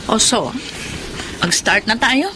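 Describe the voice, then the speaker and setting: A woman's voice: a little high, expressive, takes it slowly. A woman with a Filipino accent playing a polite, businesslike, middle-aged lady of the house in a radio drama. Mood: brisk, polite. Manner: businesslike, chatty, Taglish-speaking employer. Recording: faintly noisy radio drama recording.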